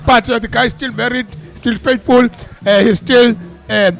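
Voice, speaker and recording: A South African man with a gravelly voice, an actor chatting as an interview guest, laughing and repeating words as he thinks. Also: very high-pitched, steady tone, moderate pace, fairly bright tone, faint music behind. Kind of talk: casual interview chat.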